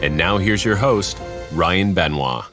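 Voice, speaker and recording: A man with a very low voice delivers a scripted podcast opening. His delivery is measured and formal. Clear background music plays under him throughout. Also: lively intonation. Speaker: podcast intro announcer. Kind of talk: scripted announcement.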